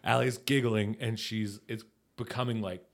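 A man's voice: on the low side, steady tone, normal speed, gravelly. A man in his thirties co-hosting a podcast, chatting casually and laughing through his jokey anecdotes. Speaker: podcast co-host. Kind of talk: casual chat.

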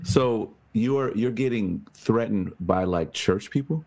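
A man with a very low voice hosts a casual interview. He talks in a relaxed, chatty way and leans on fillers like "you know" and "like". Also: takes it slowly. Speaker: podcast host.

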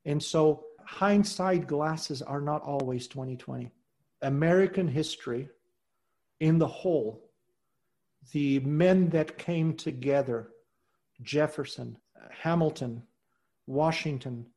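Earnest man. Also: on the high side. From Portugal. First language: Portuguese. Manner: earnest and measured, voice trailing off softly at phrase ends. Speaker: guest. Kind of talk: reflective interview talk.

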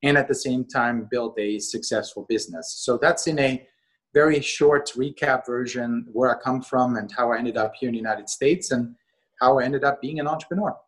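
A middle-aged man from Austria speaks as a guest on a talk show. His voice is husky and his pitch is ordinary for a man. He talks in a measured, unhurried way and pauses often on small fillers. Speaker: guest, company co-CEO. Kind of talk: interview conversation.

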